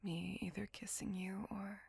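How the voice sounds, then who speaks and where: A woman voicing a lovesick, possessive vampire lady in an ASMR roleplay, courtly and formal, in a breathy whisper. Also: medium pitch, little pitch movement, unhurried, darkish tone, no room sound, exaggerated voice. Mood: apologetic, restrained. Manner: formal, old-fashioned, courtly wording. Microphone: close microphone.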